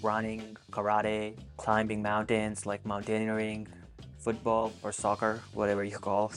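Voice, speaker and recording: A young man in his twenties, from Pakistan, answering viewer questions in a soft-spoken, earnest vlog. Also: on the low side, completely flat delivery, measured pace, soft background music. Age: 20s. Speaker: vlogger. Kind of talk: casual Q and A monologue.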